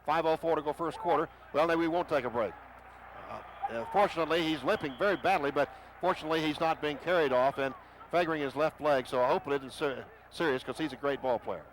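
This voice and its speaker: Brief: male radio play-by-play caller, higher voice, noisy broadcast. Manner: rapid excited calls rising to shouts on big plays. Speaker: play-by-play announcer.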